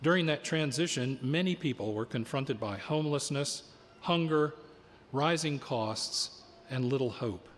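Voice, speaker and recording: A man hosting a live radio drama from a stage, announcing in a formal, measured way with deliberate phrasing. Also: mid-range voice, slight background noise. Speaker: producer and host. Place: on stage in a theater with long reverb. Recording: reverberant theater hall.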